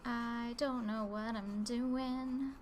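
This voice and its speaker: Slow-talking woman, nasal from a cold. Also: on the high side, steady tone, a little mellow, faint music behind. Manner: easygoing, rambling, lots of filler words. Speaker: live-stream artist host. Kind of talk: casual live-stream chat while drawing. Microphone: open desk microphone.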